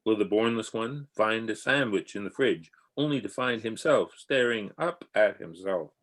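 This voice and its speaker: A man's voice: on the low side, expressive, normal speed, fairly bright tone. A man narrating a radio serial in a dramatic, scripted announcer style.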